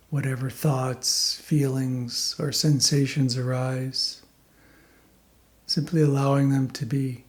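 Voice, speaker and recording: A man leading a guided meditation as a teacher, speaking calmly in unhurried phrases with long pauses between them. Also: medium pitch.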